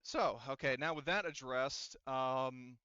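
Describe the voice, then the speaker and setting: A man acting as game master for a tabletop roleplaying game. His voice is bright and clean, and he works through rules aloud with stops and starts. The sound is close and dry, if slightly dull. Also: medium pitch, clear, resonant tone, dry recording. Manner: halting, thinking aloud while checking rules. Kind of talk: running a tabletop roleplaying game, casual improvised explanation.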